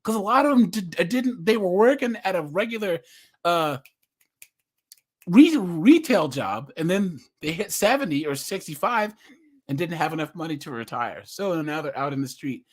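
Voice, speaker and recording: An American man with a fairly high voice makes his case forcefully on a live video call. His talk is loose and conversational. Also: animated, moderate pace. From United States. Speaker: live stream participant. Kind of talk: casual group discussion.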